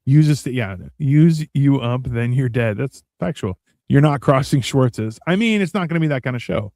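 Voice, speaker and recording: A man's voice: medium pitch, expressive, moderate pace, a little mellow, no echo. Sarcastic rambling man, dry close sound. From United States. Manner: sarcastic, rambling, many restarts. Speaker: podcast co-host. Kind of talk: casual banter.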